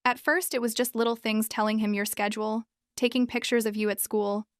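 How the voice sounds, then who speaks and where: A woman's voice: on the high side, flat delivery, a little fast, clean tone, no echo, studio quality, lightly acted. Woman voicing a frightened, guilt-ridden teenage girl. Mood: remorseful, distressed.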